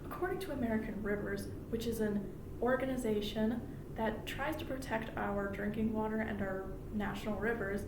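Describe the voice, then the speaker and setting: A woman's voice: mid-range voice, steady tone, normal speed. A woman delivering a prepared persuasive public speech in an earnest, explanatory way, as a student speaker. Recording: echoey room from far off, clearly noisy.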